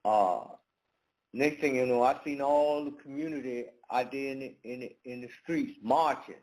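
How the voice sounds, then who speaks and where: A man talks straight to his audience in an unscripted monologue. He stalls on filler sounds and restarts his sentences often, at a middling pitch. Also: a little mellow, low-quality recording. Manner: halting, many fillers and restarts. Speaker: channel host. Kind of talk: casual monologue to camera.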